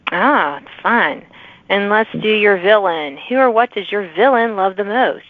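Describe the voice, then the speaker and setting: A woman's voice: medium pitch, expressive, measured pace, dry recording. Woman host, conversational interview style, affirming, heard through a thin phone-band line. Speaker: podcast host interviewing authors. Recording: narrow phone-like sound, dry.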